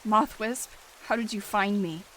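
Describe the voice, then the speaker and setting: A woman voicing an angry, scheming male warrior cat deputy, often in a hushed, urgent tone. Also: medium pitch, measured pace, a little hiss or hum, close to an everyday voice, low-quality recording.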